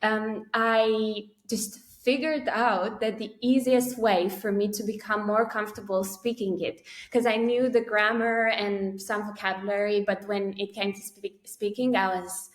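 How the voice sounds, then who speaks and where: A woman whose first language is Romanian, speaking English as a podcast guest in a thoughtful way, with pauses and soft laughs at herself. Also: mid-range voice, steady tone. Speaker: poet and guest. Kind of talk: casual interview conversation. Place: Barcelona. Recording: roomy echo.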